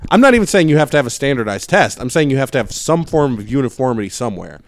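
A man with a stuffy, nasal voice from a cold, ranting in casual chat as a podcast co-host and getting shrill as he heats up. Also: very animated, racing, dry recording. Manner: ranting, profane, gets shrill when angry.

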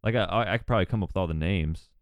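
A man's voice: sits low, racing, smooth, no echo. A man from Georgia in a laid-back, joking casual chat, swearing now and then. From Georgia, south of Atlanta.

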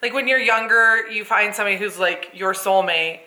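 Bright-voiced chatty woman in her thirties. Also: medium pitch, normal speed, poor audio. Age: 30s. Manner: chatty, upbeat, lots of "like". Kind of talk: casual chat.

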